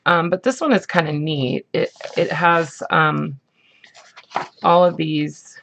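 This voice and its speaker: A woman with a husky morning voice, chatting casually as she shows off craft supplies, rambling with lots of ums and likes. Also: low-pitched, fairly even intonation, slow, fairly bright tone, a little hiss or hum. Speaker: craft vlogger. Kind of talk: casual show-and-tell chat.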